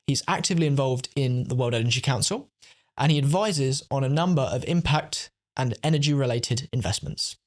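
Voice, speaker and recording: A man's voice: medium pitch, animated, a little fast. A man hosting an interview podcast, giving prepared introductions and questions. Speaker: podcast host.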